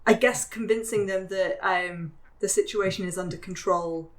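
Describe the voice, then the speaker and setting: A player making dry, quick remarks during a tabletop game. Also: medium pitch, fairly even intonation, fairly bright tone.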